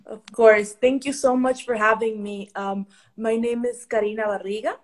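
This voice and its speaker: Guest: woman from Ecuador, football consultant; measured, hesitant delivery with restarts. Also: a little high, steady tone. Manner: thoughtful, many ums and restarts. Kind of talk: interview answers.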